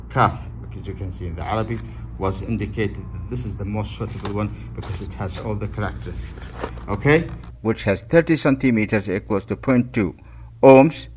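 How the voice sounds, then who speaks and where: Slow-paced man giving step-by-step instructions. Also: medium pitch, a bit bright, slight background noise. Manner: step-by-step, repeats instructions, checks with "okay?". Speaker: DIY electronics instructor. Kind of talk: instructional tutorial narration.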